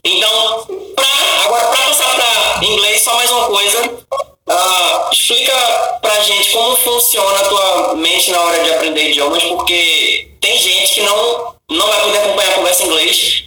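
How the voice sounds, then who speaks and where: A man's voice: high voice, normal speed, bright, forceful tone. A man hosting a live stream, reading viewer comments and asking long rambling questions. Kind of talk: live-stream interview chat. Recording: echoey room, faint music.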